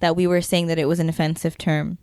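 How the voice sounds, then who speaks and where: A young woman co-hosting a casual podcast, animated and quick to protest. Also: low voice, clear, resonant tone, no room sound, clean studio sound. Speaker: podcast co-host.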